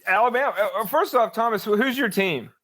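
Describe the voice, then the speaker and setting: A man's voice: high-pitched, a little fast, a bit bright. Man talking sports casually, thinking aloud. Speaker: sports journalist guest. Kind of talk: casual unscripted sports commentary.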